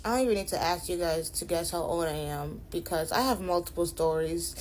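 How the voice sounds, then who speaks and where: A woman's voice: fairly low pitch, brisk, a little hiss or hum, low-quality recording. A woman of nineteen casually telling stories, acting out the dialogue as she goes. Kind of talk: casual storytelling.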